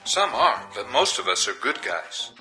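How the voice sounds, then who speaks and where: Earnest human salesman, piercing bright tone, animated, explanatory, game cutscene. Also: on the low side, measured pace, soft background music, exaggerated voice, low-quality recording. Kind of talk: video game cutscene acting.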